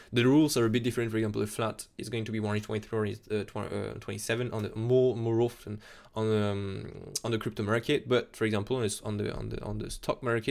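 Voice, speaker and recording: A young man with a French accent talks quickly and casually on a live stream from his desk. His lowish voice has a gravelly fry, and he gets excitable, repeating himself and restarting his sentences. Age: young adult. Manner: excitable, repeats "absolutely insane", many restarts. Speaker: crypto chart analyst streamer.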